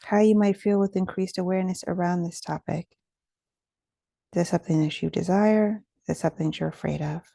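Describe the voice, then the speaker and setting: A woman leading a guided meditation in a gentle, soothing, measured way, with pauses between phrases. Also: on the low side, steady tone, gravelly, no room sound, clean studio sound.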